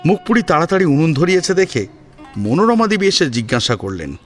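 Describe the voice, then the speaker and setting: A man's voice: on the high side, very animated, brisk, fairly bright tone, faint music behind. A man narrating a scripted family story as a dramatic storyteller.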